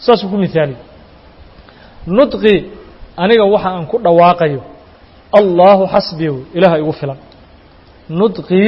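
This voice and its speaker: High-voiced man teaching grammar. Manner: methodical, asks and answers his own questions. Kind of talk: lecture explaining Arabic grammar. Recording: dull, reverberant lecture recording.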